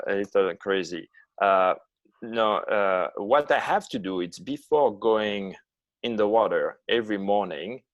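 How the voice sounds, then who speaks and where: A man in his fifties with a foreign accent, answering interview questions over a video call in halting English with many pauses. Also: on the low side, a bit bright, no room sound. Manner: halting, non-native phrasing with many uh pauses. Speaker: guest, long-distance ocean swimmer.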